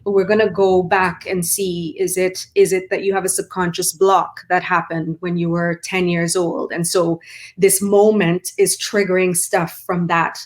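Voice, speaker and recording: A woman's voice: lowish voice, flat delivery, a little slow. A middle-aged woman from Trinidad and Tobago with a Trinidadian accent, a performance coach giving earnest explanations in an interview. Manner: earnest, explanatory, uses "right?" and "like" often. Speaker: mental performance coach, guest. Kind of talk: conversational interview.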